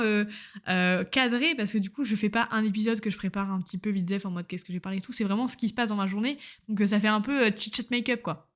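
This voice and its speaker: A young woman chats into a home microphone in a loose, diary-style podcast. She rambles from one thing to the next, slangy and self-mocking, with a casual everyday tone. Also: on the high side. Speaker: podcaster, medical student. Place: at home on a couch.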